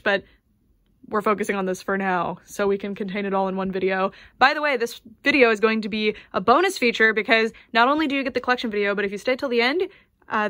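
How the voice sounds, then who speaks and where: A woman's voice: mid-range voice, fairly bright tone, dry recording, clean studio sound. A young American woman with an American accent giving a chatty, gushing tour of her doll collection, full of ums and likes. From United States.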